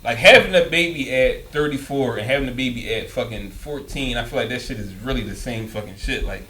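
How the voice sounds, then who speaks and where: A man's voice: mid-range voice, a little fast, sharp tone, rough recording. A man in his early thirties chatting casually as a podcast co-host, with heavy slang and swearing. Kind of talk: casual chat.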